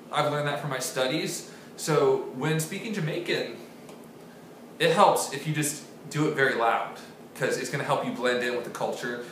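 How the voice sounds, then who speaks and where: A man hosting a mock-serious comedy accent lesson in a deadpan, mock-authoritative manner. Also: medium pitch. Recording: very echoey room with faint hiss.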